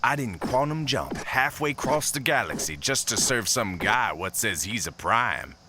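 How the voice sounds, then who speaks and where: A man's voice: medium pitch, lively intonation, moderate pace, background noise, lightly acted. A man playing a disgruntled robot civilian in an audio drama, talking bluntly and colloquially. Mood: grumbling.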